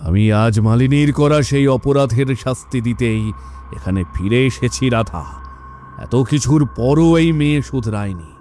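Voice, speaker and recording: A man's voice: mid-range voice, normal speed, a little mellow, slight background noise, lightly acted. A man voicing the stern, vengeful ghost of a murdered father in a cartoon. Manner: stern, scolding. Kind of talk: Bengali cartoon voice acting.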